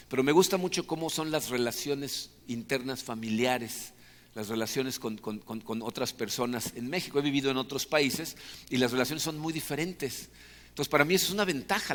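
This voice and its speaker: A man's voice: mid-range voice, fairly bright tone. Man, Mexican Spanish accent, pastor, conversational sermon, long hall reverb. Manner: conversational preaching, asks "¿no?" often. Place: church hall.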